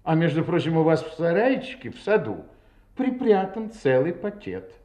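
Audio drama inspector: polite but insistent, animated pitch, heard in a roomy space. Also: male voice. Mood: pointed, insistent. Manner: polite, deliberate, pointed. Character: courteous, methodical, insistent male police inspector. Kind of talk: audio drama acting, interrogation.

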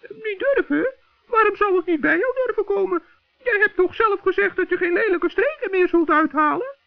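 A man voicing a good-hearted, stubborn little forest gnome in a children's radio play, squeaky, creaky and earnest. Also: lively intonation, moderate pace, no room sound, heavily acted. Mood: polite, defensive. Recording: dull, dry vintage radio recording.

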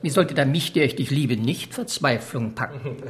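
An actor playing a devoted courtier in a radio drama, flowery and theatrically despairing. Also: male voice, medium pitch, lively intonation, normal speed, background noise, natural voice. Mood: mock despair. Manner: flowery, pleading.

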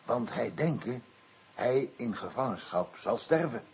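An actor playing an earnest African interpreter in a radio play, speaking solemnly in halting, broken Dutch with a husky voice. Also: male voice, medium pitch, lively intonation, full character voice.

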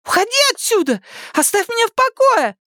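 Woman acting shrill, defiant nineteen-year-old daughter. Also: animated, moderate pace, no echo, clean studio sound. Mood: indignant, defiant. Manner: shrill, indignant protesting. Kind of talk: dramatised audiobook acting.

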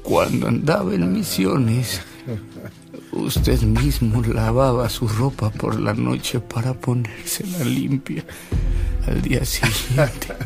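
An actor playing a respectful man recounting memories in a radio drama, in a measured, reminiscing way. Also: medium pitch, lively intonation, creaky, music in the background. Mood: fond, reminiscing.

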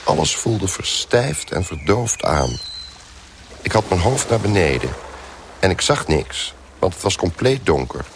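Deep-voiced, hungover, wry male narrator. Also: sing-song, measured pace, a little hiss or hum, exaggerated voice. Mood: bewildered. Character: hungover, bedraggled man recounting his misadventures. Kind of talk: first-person radio play narration.

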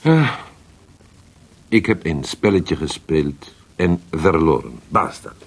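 Scheming italian poet in a radio play, really low husky voice, thick with theatrics, italian accent. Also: male voice, sing-song, full character voice. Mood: rueful, wry. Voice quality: gravelly, husky. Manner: theatrical, halting, peppering speech with Italian words. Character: worldly, scheming Italian poet, fallen from court favour. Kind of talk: radio drama acting.

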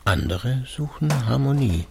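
An actor playing a weary, secretive middle-aged intellectual husband who drinks, musing wryly in a radio drama monologue. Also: male voice, medium pitch, expressive, vocal fry, dark tone, slight background noise. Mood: defensive irony. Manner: deliberate, wry, musing. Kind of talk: radio play interior monologue.

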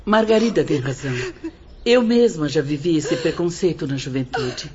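An actress playing a sympathetic, sickly older woman in a radio drama, with a low, husky voice. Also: normal speed, mellow. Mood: regretful. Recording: dull lo-fi radio drama recording.

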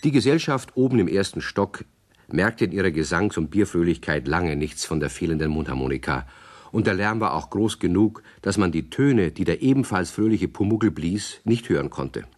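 A male narrator tells a children's story in a very deep voice. His delivery is scripted and expressive, with wide swings of melody that build suspense. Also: normal speed.

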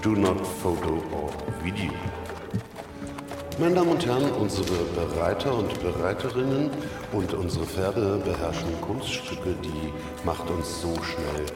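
Echoing deep-voiced riding show announcer. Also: male voice, lively intonation, unhurried. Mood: ceremonious. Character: pompous male announcer of a riding school show. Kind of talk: bilingual public announcement in radio play. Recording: echoey, distant announcement over music.

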